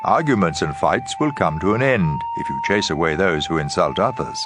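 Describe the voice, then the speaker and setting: Man, lowish pitch, very varied intonation, dramatized Bible narration, guitar music clearly underneath. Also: lively intonation, normal speed. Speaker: audio Bible reader.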